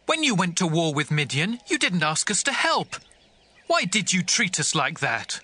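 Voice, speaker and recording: A man's voice: high-pitched, sing-song, moderate pace, bright, forceful tone, full character voice. A male actor playing an indignant, complaining tribesman in a dramatized audio Bible, sounding aggrieved and accusing. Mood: upset, accusing.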